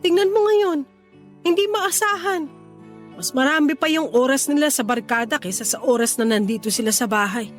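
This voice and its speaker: A woman acts out an ailing, indignant Filipino mother in a radio drama. Her voice is extremely high, pleading and full of reproach. Soft music plays faintly underneath. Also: normal speed, close to an everyday voice. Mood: reproachful. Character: hurt, indignant ailing wife and mother, middle-aged. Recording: faint music bed behind voice.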